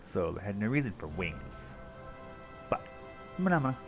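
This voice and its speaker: Low-voiced man livestreaming over game music. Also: very animated, normal speed. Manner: casual, sweary interjections. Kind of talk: reading game dialogue aloud with casual commentary. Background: video game music.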